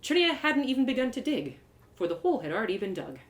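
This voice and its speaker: A woman's voice: on the high side, fast. A woman reading a fantasy story aloud live, narrating in the first person with dramatic expression. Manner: dramatic, animated.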